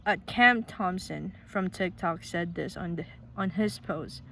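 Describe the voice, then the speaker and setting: A voice, a Tagalog speaker, talks to the camera in an earnest, casual way, stumbling and restarting phrases as thoughts come. The voice sits at an ordinary middle pitch, and faint vehicle noise hums in the background. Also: a little slow, vocal fry, bright, forceful tone. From Philippines. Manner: earnest, frequent restarts and repeated words. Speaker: vlogger. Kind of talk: casual heartfelt vlog talk.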